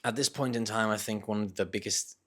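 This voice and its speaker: A man with a Northern English accent and a slight Dutch influence, talking casually and unscripted in a reflective, halting way, often correcting himself. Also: on the low side, fairly even intonation, fairly bright tone. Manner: hesitant, reflective, halting phrasing with self-corrections. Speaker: vlogger sharing reading thoughts.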